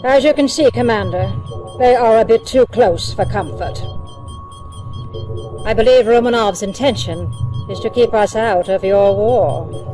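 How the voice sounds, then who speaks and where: A woman's voice: medium pitch, a little slow, pauses often, warm tone, faint music behind, close to an everyday voice, rough recording. An actress playing a composed female military intelligence briefer, speaking precisely in a game cutscene. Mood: composed, serious. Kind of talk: dramatic game cutscene acting.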